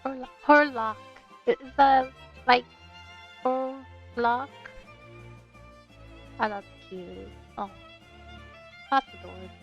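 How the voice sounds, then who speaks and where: A woman's voice: fairly high pitch, slow pace, long pauses. A woman live-streaming a visual novel read-aloud and reacting as she goes, in a theatrical manner. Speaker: VTuber streamer. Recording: dull lo-fi stream audio with game music. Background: game music.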